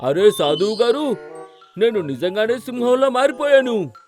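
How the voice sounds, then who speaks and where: A boastful cartoon donkey playing at being king , acted by a man, in a high-pitched male voice. He speaks pompously and gives orders, with music playing behind him. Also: expressive, moderate pace, a little mellow. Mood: eager. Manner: pompous, commanding. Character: bossy, boastful donkey posing as lion king. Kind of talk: children's cartoon story dubbing.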